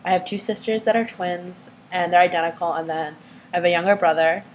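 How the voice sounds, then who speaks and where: A young woman whose first language is Vietnamese speaks casually to her camera in a somewhat low voice. She hesitates often, filling gaps with small fillers and restarting phrases. A faint background hiss sits under her voice. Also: fairly even intonation, a little fast, rough recording. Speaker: vlogger.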